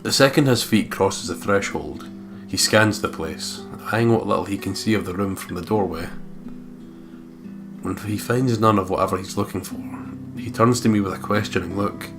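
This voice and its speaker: Low-voiced man narrating over guitar music. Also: moderate pace. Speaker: let's play host reading a visual novel aloud. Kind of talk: expressive scripted narration with casual asides. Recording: guitar game music behind voice. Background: acoustic guitar game soundtrack.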